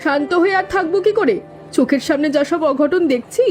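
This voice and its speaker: A woman voicing a hot-tempered, spiteful village housewife in a cartoon, gossiping and scolding with a sharp tongue. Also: sits high, brisk, faint music behind, lightly acted. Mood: indignant gossip. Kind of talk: cartoon voice acting.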